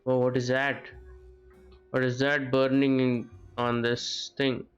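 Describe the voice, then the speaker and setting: A male game streamer gives casual live commentary at a medium pitch. He talks to himself in halting, muttered bits, with game music behind him. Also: flat delivery, lo-fi sound.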